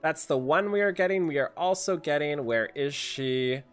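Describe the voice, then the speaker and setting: A young man hosting a casual game update video, excitable and given to hyperbole and repetition, speaking at a desk mic. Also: fairly high pitch, moderate pace. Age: young adult. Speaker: gaming video host and streamer. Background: music.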